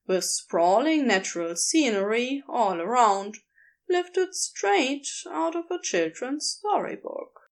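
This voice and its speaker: Expressive, slow-paced woman reading a story. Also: on the high side. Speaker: podfic narrator. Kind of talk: scripted narration, storytelling.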